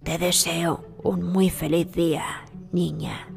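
A woman voicing a sly male wolf in a children's story, sweet-talking with drawn-out, falsely polite words. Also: fairly low pitch, level tone, vocal fry, a little mellow, pitched below the performer's own voice, heavily acted. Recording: long reverb with music behind.

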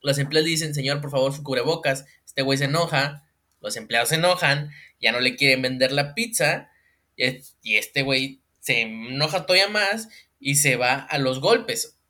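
A man's voice: mid-range voice, moderate pace. A man with a Mexican accent co-hosting a casual comedic chat about viral news, slangy and laughing often. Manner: animated, slangy, laughs often, mimics voices. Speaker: co-host.

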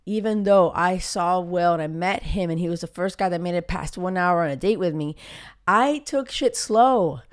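A woman, hosting a casual solo podcast as a love coach, talking emphatically with many fillers while her voice comes back after a cold. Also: medium pitch. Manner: emphatic, gets riled up, frequent fillers. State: recovering from a cold, voice coming back.

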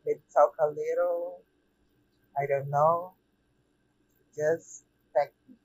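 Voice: medium pitch, flat delivery, drawn-out words, a little mellow, muffled recording, slight background noise. A speaker with a Brazilian accent co-hosting a podcast in casual, slangy, informal talk.

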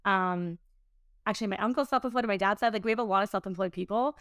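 A woman's voice: medium pitch, quick pace, a bit bright, no room sound, clean studio sound. A woman in her late twenties talking casually as a podcast host, in an informal, rambling way sprinkled with "like". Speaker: podcast host and business owner. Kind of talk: casual conversational podcast chat.

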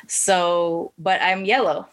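A woman hosting a podcast, talking things over in a relaxed, reflective way and asking long open questions. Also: fairly low pitch, slow pace. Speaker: podcast host. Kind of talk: casual interview conversation.

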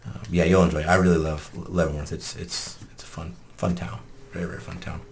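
A man's voice: sits low, little pitch movement, fairly quick, slight background noise. A man hosting a casual group podcast, joking and ribbing his friends, with frequent swearing. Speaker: podcast host.

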